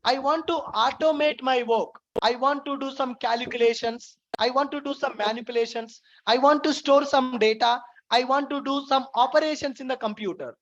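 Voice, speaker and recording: A woman's voice: high voice, steady tone, muffled recording. A woman with an Indian English accent, a native Telugu speaker, giving a programming tutorial and repeating each point step by step. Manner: repetitive, explains slowly step by step. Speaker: programming instructor. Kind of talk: screen-recorded tutorial lecture. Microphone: voice-over to screen drawing.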